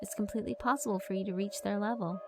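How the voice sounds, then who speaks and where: A woman's voice: medium pitch, fairly even intonation, fast, lightly acted. A woman voicing a sweet, persuasive female figure who praises the bullies' cruelty. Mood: coaxing. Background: background music.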